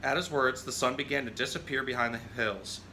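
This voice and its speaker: A man hosts a children's animal storytime in the role of a zookeeper. His voice is bright and forceful, and he explains things conversationally, moving between casual teaching and reading aloud. Faint noise sits behind him. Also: medium pitch, a little fast, rough recording.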